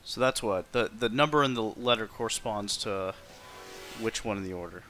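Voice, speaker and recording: A man's voice: fairly low pitch, moderate pace, fairly bright tone. A man doing casual, sweary gameplay commentary as a video game streamer. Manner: informal, sweary. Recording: game music behind the voice. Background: video game music.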